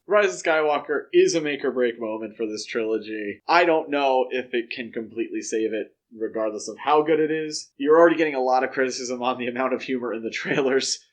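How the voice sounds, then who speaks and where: A man's voice: mid-range voice, brisk, few pauses. A man co-hosting a podcast, discussing film news casually in a speculative, lightly joking way. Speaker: podcast co-host.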